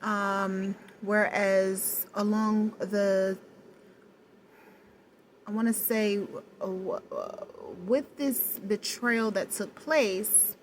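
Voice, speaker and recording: A woman with a low voice talking straight to the camera in a personal vlog, hesitant and searching for words, with many pauses and restarts. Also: fairly even intonation, slight background noise, poor audio. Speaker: vlogger. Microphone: no external mic, camera mic.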